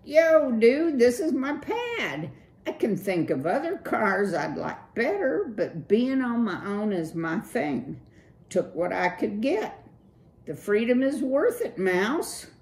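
A woman's voice: a little high, very animated, slow pace, a little mellow, pitched above the performer's own voice, exaggerated voice. A woman voicing a cool, slang-talking young male city mouse with a laid-back, breezy drawl. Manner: laid-back surfer slang, keeps saying "dude".

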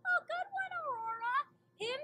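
Woman as a very high-pitched, proud pony princess. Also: lively intonation, normal speed, bright, forceful tone, a little hiss or hum, full character voice. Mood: scornful, angry. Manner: dramatic, emphatic. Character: wounded, betrayed young pony princess, proud and bitter. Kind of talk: fan cartoon voice acting.

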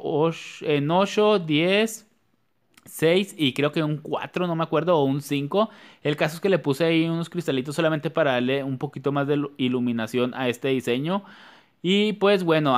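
A man talking viewers through a nail tutorial in a chatty, repetitive way. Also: fairly high pitch. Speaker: nail artist. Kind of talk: casual nail tutorial voice-over.

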